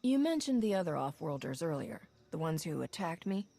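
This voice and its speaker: Determined hero, low mellow husky voice, direct. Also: female voice, sing-song, fairly quick, exaggerated voice. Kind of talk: video game voice acting.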